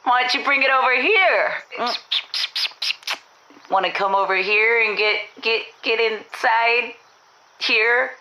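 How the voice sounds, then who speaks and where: A woman playing a frazzled, defiant wronged wife calling a radio show in a comedy audio drama, rambling breathlessly and excitably. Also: fairly high pitch, slow, lo-fi sound, background noise. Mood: giddy, worn out.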